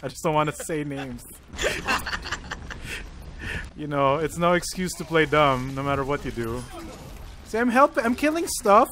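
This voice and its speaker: A man chatting casually while playing an online shooter, excited and swearing as he calls out his plays. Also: fairly high pitch, normal speed, long pauses, noisy background. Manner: loud, excited, swearing play-by-play. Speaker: gamer. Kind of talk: casual gaming chat.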